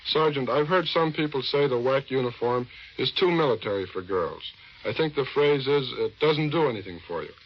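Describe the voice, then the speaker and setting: A man's voice: medium pitch, expressive, moderate pace, slight background noise. Old 40s radio guy doing interviews, american, wry and folksy, muffled lo-fi sound. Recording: dull vintage 1940s radio recording.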